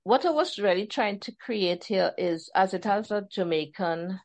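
A woman from Trinidad and Tobago, an author chatting in an interview, animated and acting out the voices she quotes. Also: medium pitch, normal speed. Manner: animated, laughing storyteller who acts out quotes. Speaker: author guest. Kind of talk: casual interview chat.

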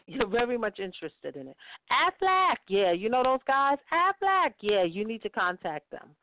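A woman's voice: on the high side, sing-song, normal speed. A woman giving financial advice on a talk show, stressing her points by repeating key phrases. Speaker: guest financial speaker. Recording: tinny phone-line sound.

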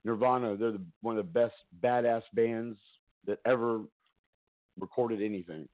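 Loud, rambling man on a tinny line. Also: mid-range voice, steady tone, normal speed. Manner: rambling, chatty, laughs often. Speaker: talk show host. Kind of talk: casual live-stream talk. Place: home. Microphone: headset.

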